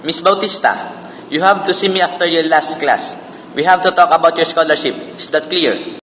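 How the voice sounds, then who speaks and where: A man's voice: a little high, moderate pace, bright, forceful tone. A man playing a stern male schoolteacher in an amateur radio drama. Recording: distant, echoey hall, faint hiss.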